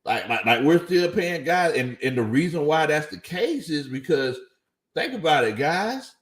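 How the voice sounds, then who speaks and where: A man's voice: on the high side, animated, moderate pace. A man hosting a sports livestream, reading viewer chats in a casual way and laughing often. His voice sounds a little worn out. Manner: laughs often, chuckling through his lines. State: voice slightly worn out. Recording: echoey room.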